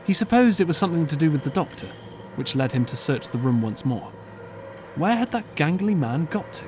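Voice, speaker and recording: A man narrating an audiobook as scripted storytelling, measured and earnest, with dramatic pauses. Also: a little high, big pitch swings, background noise. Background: clear background music.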